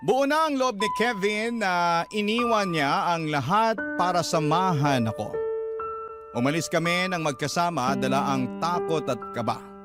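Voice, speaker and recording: A man's voice: sits high, a little slow. A man with a Filipino accent reading a listener's letter as a dramatic radio narration. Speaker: radio show host and story reader. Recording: loud music under the voice. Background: loud instrumental music.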